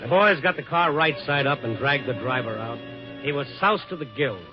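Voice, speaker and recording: A man's voice: mid-range voice, lively intonation, moderate pace, natural voice. An American man acting a wry, hard-boiled night reporter in a 1950s radio drama. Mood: tense narration. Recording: dull old radio recording, music behind.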